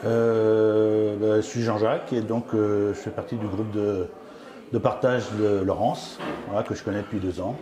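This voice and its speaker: Man, deep-ish voice, casual halting interviewee, crowd noise. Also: level tone. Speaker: event attendee. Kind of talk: vox pop interview. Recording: echoey room with crowd.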